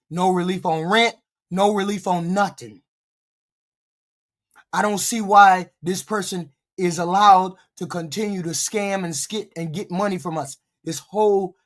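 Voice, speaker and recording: A hoarse streamer, voice dropped and a bit breathless from a cold, rants back at the screen in blunt, profane bursts. The pitch sits in an ordinary middle range. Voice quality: deeper than usual voice, short of breath. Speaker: livestream commentator. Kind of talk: ranting live commentary.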